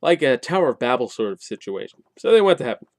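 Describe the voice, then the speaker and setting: A man with a mid-pitched voice talks through a game review in a casual, colloquial way. He is wry, slipping in sarcastic asides as he explains things. The sound is dry, with very little room echo. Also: sing-song, fast, a little mellow. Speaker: tabletop game reviewer and host.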